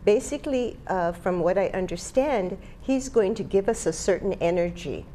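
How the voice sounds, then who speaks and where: A woman's voice: mid-range voice, expressive, moderate pace, warm tone, slight background noise. An older woman talks casually as a guest on a studio panel. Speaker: panel guest, event organizer. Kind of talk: casual studio interview talk. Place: small indoor TV studio.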